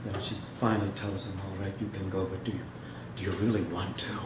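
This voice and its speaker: A man giving a dramatic literary reading and talk to an audience, speaking in a measured way with pauses. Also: sits low, flat delivery. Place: indoor hall, seated before an audience. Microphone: microphone on a stand, recorded by a distant camera. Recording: echoey room, noisy background.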